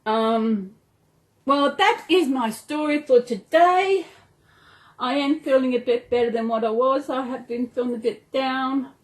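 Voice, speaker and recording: A woman's voice: sits high, unhurried. A woman with an Australian accent chatting casually and affectionately in a rambling home vlog, talking to viewers and to her dolls. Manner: rambling, affectionate, talks to dolls and toys as if alive. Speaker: doll collector hosting a storytime video channel. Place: indoors at home.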